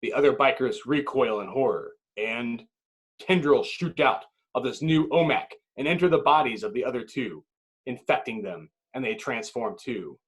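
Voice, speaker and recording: A man's voice: mid-range voice, animated, normal speed, a bit bright. Male narrator, intense dramatic script reading. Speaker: narrator reading stage directions.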